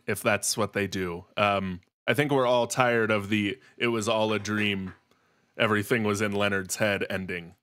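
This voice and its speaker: A man's voice: lowish voice, fairly bright tone. A man co-hosting a podcast, talking in a mock-earnest conversational style. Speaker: podcast co-host.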